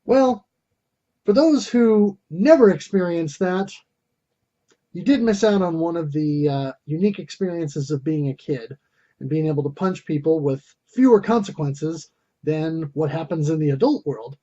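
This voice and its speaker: A man's voice: fairly high pitch, measured pace, mellow, highs cut off. A man hosting a retro video game review, reading scripted narration in a theatrical, wordy and wry manner.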